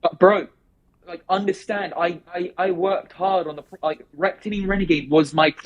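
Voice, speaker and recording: A man talking fast and rambling in a casual remote interview, with many restarts. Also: high voice, fairly even intonation, dull audio. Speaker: interview guest.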